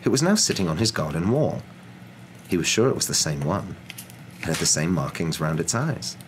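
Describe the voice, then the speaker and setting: A young man reading a story aloud as a dramatic, expressive ASMR narrator. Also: low-pitched, moderate pace, background noise.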